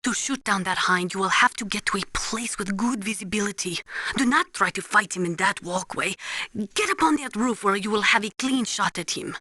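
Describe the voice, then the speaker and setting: A woman's voice: mid-range voice, moderate pace, pushed and bright, dry recording. An actress playing a blunt, knowledgeable female military weapons analyst in a video game, briefing in a precise, instructive way. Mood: matter-of-fact, urgent. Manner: precise, matter-of-fact, instructive.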